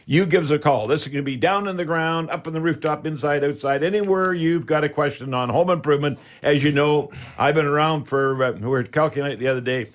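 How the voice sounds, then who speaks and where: A man's voice: a little high, quick pace, hardly any pauses. An older man in his seventies with a Canadian accent hosts a live radio show in a casual, rambling, chatty, folksy way.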